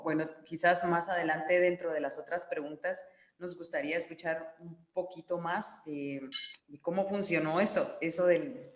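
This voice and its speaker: A woman moderating an online panel, courteous and measured as she sums up each guest and passes the floor. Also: sits low, reverberant.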